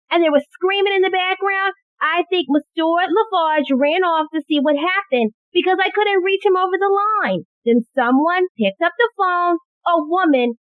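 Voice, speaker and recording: A woman's voice: extremely high pitch, dry recording. A woman acting in an audio play as an anxious, eager police secretary bringing urgent news. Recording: thin phone-like audio, very dry.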